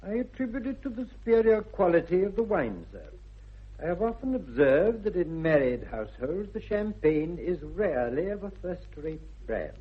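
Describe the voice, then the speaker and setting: An actor playing a formal, deadpan Victorian manservant with an English accent, measured and deferential, in 1960s British radio comedy. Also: male voice, sits high, slightly rough, full character voice. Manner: formal, measured, dryly solemn. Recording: dull old radio recording.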